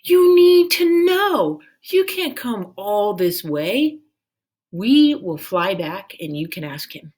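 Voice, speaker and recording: An excitable young storybook dragon, played by a woman, devoted and full of exclamations. The pitch is lifted well up and jumps about, and the words sputter out with concern. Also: takes it slowly. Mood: insistent.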